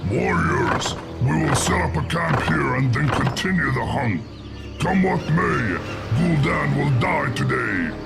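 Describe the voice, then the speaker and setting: A man playing a vengeful orc warrior commander in a fantasy game, speaking in a grave, deliberate manner. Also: medium pitch, expressive, creaky, over loud music. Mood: resolute.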